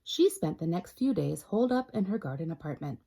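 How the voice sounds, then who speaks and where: A woman reading a picture book aloud as a storytime librarian, expressive and talking directly to young listeners. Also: low-pitched, a little fast, gravelly, husky. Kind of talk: children's read-aloud narration.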